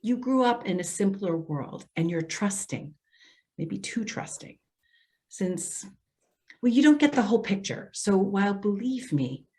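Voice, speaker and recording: A woman's voice: mid-range voice, lively intonation, measured pace, mellow, natural voice. A woman playing a well-meaning social worker in a stage reading, hesitant, earnest and placating. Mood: cautious concern. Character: well-meaning female elder affairs social worker, apologetic, cautious. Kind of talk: readers theater stage acting.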